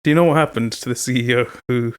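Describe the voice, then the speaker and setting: A man co-hosting a casual podcast with a teasing, playful manner. He tells his stories in an animated way at a medium pitch. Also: quick pace, smooth. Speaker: podcast co-host. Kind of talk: casual chat.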